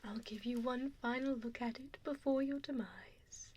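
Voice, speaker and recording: A woman voicing an evil, possessive female vampire in a breathy ASMR whisper, deliberate and mockingly polite, speaking close into a binaural mic. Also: a little high, fairly even intonation, a little mellow. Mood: mock-sweet menace. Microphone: binaural ear microphone, close up. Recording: close binaural mic with audible background noise.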